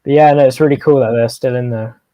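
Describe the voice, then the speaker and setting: A man's voice: medium pitch, fairly even intonation, fast, dark tone, dry recording, low-quality recording. A man chatting in an easygoing way, leaning on fillers like "like". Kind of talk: casual chat.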